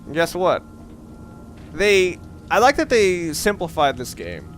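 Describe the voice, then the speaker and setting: Higher-voiced man rambling over clear background noise. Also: wildly swooping pitch, a little slow, a bit bright. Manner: rambling, sweary, slangy. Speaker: let's play commentator. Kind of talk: casual unscripted gameplay commentary.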